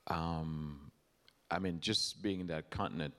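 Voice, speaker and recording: Very low-voiced man from Haiti, hesitant and reflective, reverberant hall. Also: takes it slowly, pushed and bright. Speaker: church member, guest.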